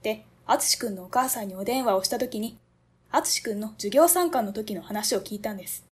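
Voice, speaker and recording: A woman's voice: mid-range voice, brisk, breathy edge, natural voice. A woman playing a polite, earnest young ward office clerk who explains things carefully.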